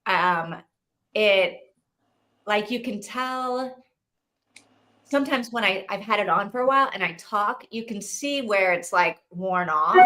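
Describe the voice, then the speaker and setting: A woman's voice: mid-range voice, measured pace, sharp tone. A woman co-hosting a book club livestream, chatting casually and often saying "like" and "right?". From dad Saudi, mom German.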